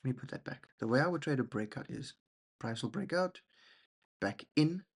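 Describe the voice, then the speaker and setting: Man, gravelly, husky, rambling, self-deprecating, keeps asking "okay?", casual trading walkthrough. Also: medium pitch, lively intonation, moderate pace. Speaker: trading channel host.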